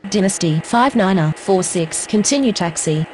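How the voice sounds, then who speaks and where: A woman's voice: medium pitch, lively intonation, normal speed, darkish tone. A woman speaking short, scripted aviation radio phraseology in an air traffic control game.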